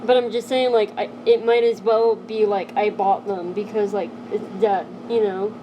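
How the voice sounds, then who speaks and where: A young woman chatting casually on a live stream from home, rambling and filling every pause with "like". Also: medium pitch, steady tone, highs cut off, background noise. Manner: rambling, heavy use of "like" fillers. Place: home, sitting on her knees.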